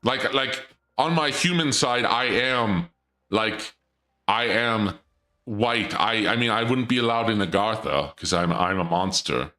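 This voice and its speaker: Joking, rambling man with a bright voice. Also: lowish voice, measured pace. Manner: rambling, joking, theatrical dark-lord persona. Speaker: streamer. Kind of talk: casual stream chat.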